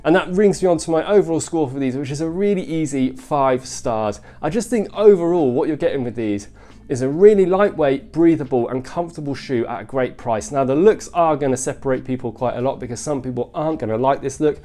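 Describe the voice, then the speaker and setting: A man's voice: medium pitch, expressive, brisk, dark tone. A man with a bunged-up, congested nose, giving an upbeat sneaker review vlog and often repeating "really, really nice". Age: adult father.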